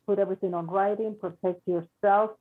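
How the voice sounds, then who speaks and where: A woman giving a spiritual reading, talking in a halting, reassuring way and often repeating her words. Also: mid-range voice, steady tone, husky. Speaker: psychic reader. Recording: tinny phone-like line.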